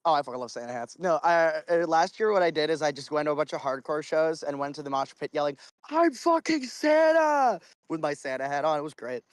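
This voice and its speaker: A man's voice: on the high side, animated, fairly quick, few pauses, clear, resonant tone, no echo. A man chatting casually in a debate, rambling and swearing freely.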